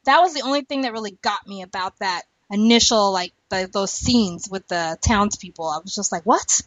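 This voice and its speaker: A woman's voice: medium pitch, normal speed, no echo, muffled recording. A woman hosting a podcast, chatting casually about a TV series in an informal, opinionated way. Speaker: podcast host.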